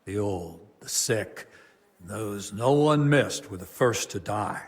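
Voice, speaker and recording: An actor playing a stern, burdened abbot of a medieval monastery, grave and formal, in a dramatic game cutscene. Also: male voice, on the low side, animated, slow. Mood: somber.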